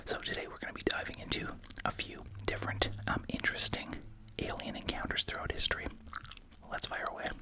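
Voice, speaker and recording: An ASMR host speaking entirely in a soft, breathy whisper, musing casually while chewing gum. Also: brisk.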